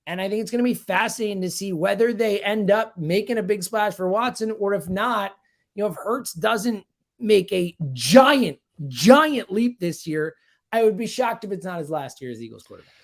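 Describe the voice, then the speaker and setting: A man's voice: sits high, lively intonation, normal speed, vocal fry. A man giving sports analysis in conversation, speaking emphatically and repeating himself to drive points home. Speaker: sports radio host as guest analyst.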